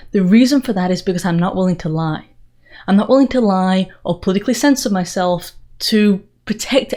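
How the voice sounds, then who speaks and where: A woman from England speaks in a mid-pitched voice, her accent a blend of Northern England and the American South. She presents as a commentator addressing her viewers directly, earnest and opinionated, landing her points with emphasis. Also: darkish tone. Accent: mix of Northern English and Southern US. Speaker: political commentary video host. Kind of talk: direct-to-viewer channel introduction monologue.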